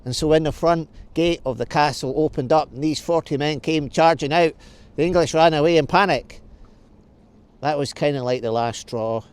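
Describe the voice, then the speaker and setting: A man's voice: a little high, moderate pace, smooth. A man telling a story for children, stopping to ask and answer questions. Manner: explains as he tells, asks and answers questions.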